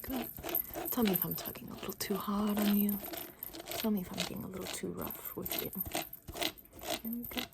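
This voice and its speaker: A woman's voice: mid-range voice, steady tone, moderate pace, gravelly, pauses often, very noisy. A woman doing an ASMR roleplay as a makeover stylist, murmuring repetitive, reassuring step-by-step instructions in a personal-attention style.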